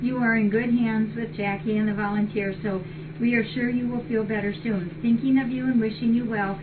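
Older lady chatting on a webcam, kinda high voice, way back from the mic, lots of room noise, appreciative. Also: fairly even intonation, brisk. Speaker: cat rescue shelter host. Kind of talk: casual live webcam chat, reading cards aloud. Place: large indoor cat shelter room. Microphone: distant fixed webcam. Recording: muffled, noisy webcam audio from far off.

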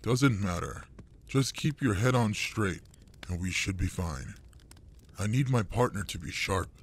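Man voicing a slow, low-pitched older monster adventurer. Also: breathy edge. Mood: steady, wary. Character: gruff older male orc-like monster adventurer, fond, teasing. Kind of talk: intimate audio roleplay acting.

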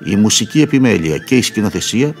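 A man reading scripted radio drama credits in a formal, measured announcer's manner. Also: on the low side, very animated. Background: prominent violin music.

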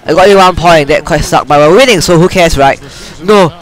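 A young man with a high, excitable voice yells, laughs and trash-talks his way through a basketball video game. He talks close into a headset mic in a small room, jumping between chatter and sudden shouts. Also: fairly quick, rough recording. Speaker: gamer. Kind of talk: excited gameplay commentary.

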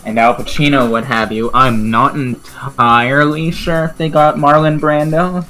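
A man's voice: fairly high pitch, flat delivery, measured pace, pushed and bright. A man co-hosting a podcast, chatting casually about video games. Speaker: podcast co-host. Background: background music.

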